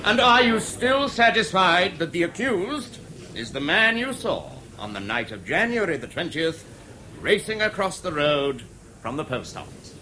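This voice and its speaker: An actor with an English accent playing a formal, probing prosecuting barrister in a 1970s British radio drama, deliberate and insistent with weighty pauses. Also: male voice, high-pitched, sing-song, pushed and bright, full character voice. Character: probing, theatrical male prosecuting barrister in court. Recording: faintly noisy old radio broadcast.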